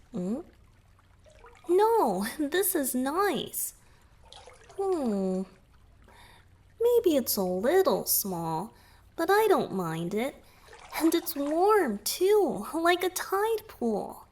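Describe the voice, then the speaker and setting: Girl doing a soft breathy merman boyfriend for romantic asmr, super slow n tender, kinda teasing, whispery. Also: fairly high pitch, exaggerated voice. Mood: teasing, affectionate, then contented. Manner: tender, unhurried, softly teasing. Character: gentle, playful, affectionate young male merman boyfriend.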